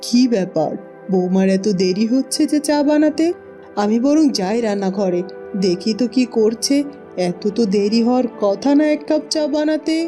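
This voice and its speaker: Woman as a dark-toned, fussy mother-in-law. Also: a little high, animated, moderate pace, lightly acted. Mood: demanding. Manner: scolding, exclamatory, fussing. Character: bossy, fussy middle-aged village mother-in-law. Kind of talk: Bengali cartoon dubbing. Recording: music behind the voice.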